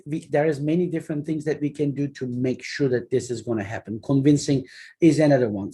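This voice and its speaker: A man in his forties, whose first language is Turkish, speaks English with a Turkish accent in a slightly high, mellow voice. He lectures earnestly as a journal editor in an online webinar, often pausing, restarting phrases and filling gaps with ums. The sound comes through a computer mic on a video call. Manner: earnest, halting explaining with many fillers and restarts.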